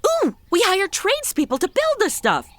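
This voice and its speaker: A woman's voice: very high voice, very animated, normal speed, fairly bright tone, dry recording, full character voice. A woman playing a snarky modern wisecracker in a comedy audio drama, speaking in casual, sarcastic asides. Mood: wry, chatty.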